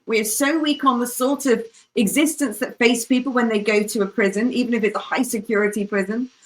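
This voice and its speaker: A woman's voice: fairly high pitch, fairly bright tone. A woman speaking as a panelist in a political debate, emphatic and opinionated, repeating phrases for force. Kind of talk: impassioned political debate talk.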